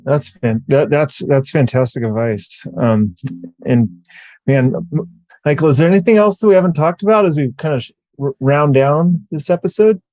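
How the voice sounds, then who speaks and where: A man hosting a podcast interview, upbeat and quick with short agreeing replies. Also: on the high side, dark tone, no room sound, low-quality recording. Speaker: podcast host.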